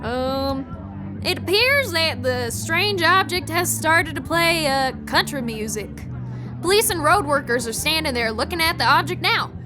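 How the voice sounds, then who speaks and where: A woman's voice: sits high, animated, a little slow, music in the background. A woman playing a flustered traffic reporter giving a live radio news report on an alien invasion in an audio drama. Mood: uneasy, puzzled.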